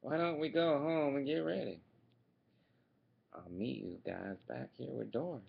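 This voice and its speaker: A man voicing a kind, patient young boy clownfish in a storybook reading, with a gravelly, husky voice and a friendly, gentle manner. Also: mid-range voice, a little slow, phone-quality sound, exaggerated voice.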